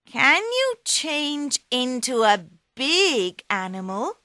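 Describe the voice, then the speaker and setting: A woman voicing a clever male talking cat who coaxes and flatters in a high, excited voice. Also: takes it slowly, no echo, clean studio sound, full character voice. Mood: sly.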